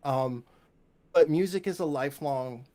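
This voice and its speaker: A man's voice: fairly high pitch, measured pace, warm tone. A man from the United States chatting casually as an interview guest, joking and rambling as he talks. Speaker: guest, composer and bass player.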